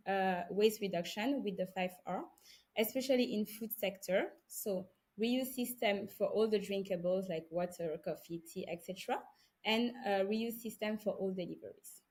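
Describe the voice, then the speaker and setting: A woman's voice: mid-range voice, a little mellow. Woman explaining step by step. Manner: explains step by step in lists, with frequent pauses and self-corrections. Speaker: panelist, founder of an environmental association. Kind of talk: online panel introduction.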